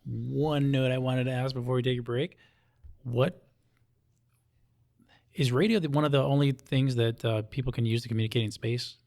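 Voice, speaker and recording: A man's voice: mid-range voice, steady tone, moderate pace, gravelly, long pauses, a little mellow. A man hosting a casual interview, asking questions in an informal, jokey way. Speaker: interview host.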